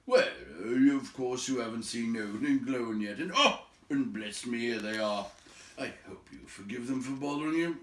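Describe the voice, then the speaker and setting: A man's voice: mid-range voice, animated, normal speed, a bit bright, natural voice. A man voicing a tactful, crafty wizard who tells his tale with mild, hesitant politeness in a storybook reading. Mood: apologetic. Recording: roomy echo, distant from mic.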